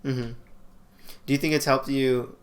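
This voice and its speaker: A man's voice: mid-range voice, fairly even intonation, measured pace. A man hosting an interview, asking casual questions that he rephrases as he goes, with plenty of fillers.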